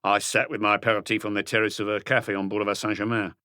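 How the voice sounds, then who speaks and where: A man's voice: on the low side, flat delivery, fast, sharp tone, smooth, no room sound, clean studio sound. A man voicing a shifty thirty-five-year-old small-time crook, defensive and evasive, in an audiobook mystery. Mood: evasive, indignant.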